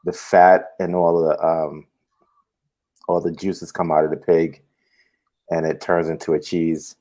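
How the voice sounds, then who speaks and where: A man in his late forties talking in a casual online chat in an easygoing, slightly halting way. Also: low-pitched, level tone, mellow. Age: late 40s.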